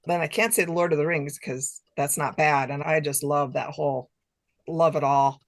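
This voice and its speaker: A woman's voice: deep voice, fairly even intonation, moderate pace. A woman author chatting casually as an interview guest, enthusiastic and repeating phrases for emphasis. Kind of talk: casual chat.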